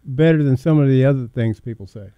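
A man's voice: mid-range voice, fast talker, clean tone, dry recording, highs cut off. A man hosting a radio show, chatting casually with teasing questions and stammered restarts. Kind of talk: casual talk radio banter.

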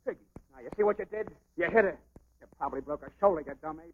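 An actor playing a cocky, jealous American showbiz dancer, sneering and slangy, in an old film drama. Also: male voice, fairly high pitch, racing, narrow, tinny audio, slight background noise, close to an everyday voice. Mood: accusing.

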